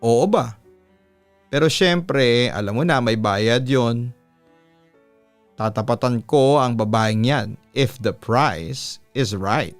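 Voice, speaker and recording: A man's voice: medium pitch, takes it slowly, dry recording, soft background music, lightly acted. Man voicing a sassy, seductive Filipina bar hostess. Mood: teasing, seductive. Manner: teasing, seductive.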